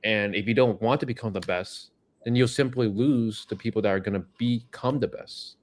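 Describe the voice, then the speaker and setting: A man's voice: fairly low pitch, moderate pace. A man co-hosting a live Q&A, frank and motivational, giving tough-love advice. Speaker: co-host answering chat questions. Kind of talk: casual live-stream chat.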